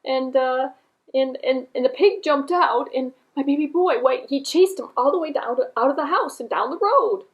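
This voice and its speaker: A woman's voice: high-pitched, moderate pace, highs cut off, pitched above the performer's own voice, close to an everyday voice. A woman voicing a polite, apologetic housewife in a puppet tale, hesitant, repeating words and eager to agree. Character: polite, apologetic housewife, a fretful kindly mother.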